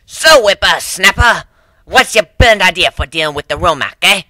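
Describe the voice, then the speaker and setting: A man voicing a tough, gruff old female cat who leads a tribe in a dramatic story reading, brash and bossy. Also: high voice, expressive, moderate pace, bright, forceful tone, no echo, voice pushed up, heavily acted. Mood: gruff challenge.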